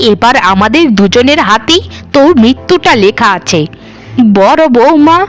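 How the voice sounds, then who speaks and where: A woman's voice: high voice, a bit bright. A woman voicing a scheming, melodramatic older mother-in-law in a cartoon. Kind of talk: cartoon voice acting.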